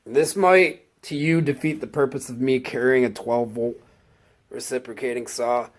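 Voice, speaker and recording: A man with a stuffed-up cold, casually showing off his work tools in a slow, halting, enthusiastic way. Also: mid-range voice, fairly even intonation, noisy background. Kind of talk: casual tool walkthrough.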